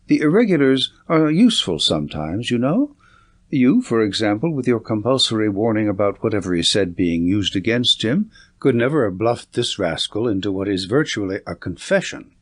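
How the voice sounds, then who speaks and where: A man's voice: on the low side, sing-song, normal speed. A man voicing a confident, commanding detective in an audiobook, speaking in an assured, articulate, lecturing way. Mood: brisk, assured.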